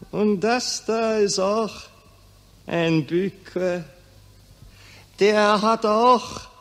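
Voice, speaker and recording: A dim, doddering Austrian archduke speaks extremely slowly in a high voice. He halts and repeats himself, with long hall reverb in an old radio play. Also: vocal fry, a bit bright, exaggerated voice. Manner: halting, repetitive. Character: doddering, simple-minded Austrian archduke addressing officers. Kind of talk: 1940s German radio drama acting.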